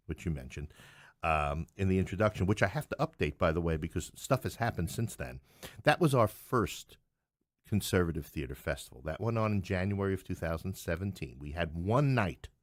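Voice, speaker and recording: A man's voice: deep voice, no room sound, clean studio sound. A middle-aged man from New York City talking as a playwright guest in a conversational interview, with wry joking asides.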